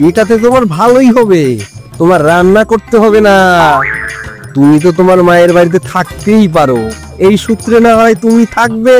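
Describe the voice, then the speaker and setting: Man playing a scheming glutton son-in-law, high-pitched. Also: brisk, a little mellow, natural voice. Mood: smug. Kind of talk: comedy cartoon voice acting. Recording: music behind the voice.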